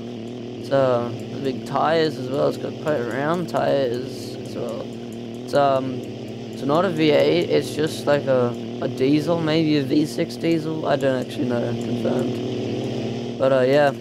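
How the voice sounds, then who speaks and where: Man, mid-pitched voice, casual game commentary, hesitant and rambling, noticeably noisy recording with car sounds. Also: vocal fry. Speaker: gaming video host. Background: car engine sounds from a driving game.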